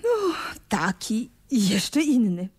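A woman playing a self-assured playwright who narrates a radio play, speaking directly to listeners in a measured, confiding way. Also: a little high, very animated, a little mellow, husky, exaggerated voice. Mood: confiding, wry.

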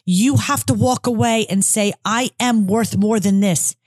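A woman's voice: mid-range voice, a little slow, a little mellow, dry recording, studio quality. A woman co-hosting a podcast, giving blunt, emphatic relationship advice. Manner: emphatic, blunt, preachy advice with repeated phrases. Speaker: podcast co-host and advice author. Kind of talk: casual advice chat.

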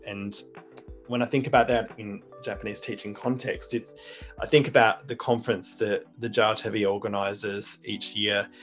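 A man's voice: fairly low pitch, fairly bright tone. A middle-aged man, a teacher and deputy principal, giving earnest, reflective interview answers with frequent restarts. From Australia. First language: English. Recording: muffled lo-fi audio with faint music.

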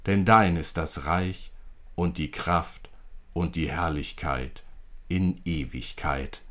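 A man leading liturgical prayer as a clergyman, speaking in solemn, measured phrases. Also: sits low, fairly bright tone. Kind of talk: liturgical prayer, church service podcast.

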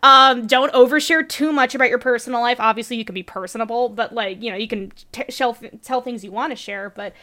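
High-energy woman with a chipmunk-like voice. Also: a little fast, hardly any pauses, bright, forceful tone. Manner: high-energy, laughs often, fillers like "um" and "you know". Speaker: YouTuber. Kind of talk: casual chat.

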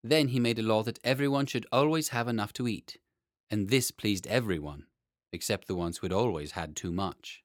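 A man reading a children's story as an expressive audiobook narrator. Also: fairly low pitch, moderate pace, no echo, studio quality.

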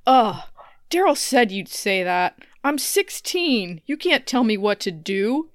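A woman's voice: a little high, big pitch swings, takes it slowly, no room sound, studio quality. A woman reading the part of a naive, defiant sixteen-year-old girl with an exasperated whine, in a parody table read of a TV family drama. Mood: defiant, sulky.

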